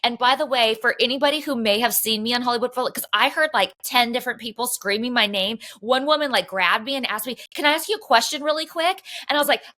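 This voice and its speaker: A woman's voice: a little high, quick pace, hardly any pauses, bright, forceful tone. A woman co-hosting a podcast, telling a rambling, chatty story full of "like" fillers. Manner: chatty, rambling, heavy use of "like". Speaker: podcast co-host. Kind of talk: casual chat, storytelling. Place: Los Angeles area home.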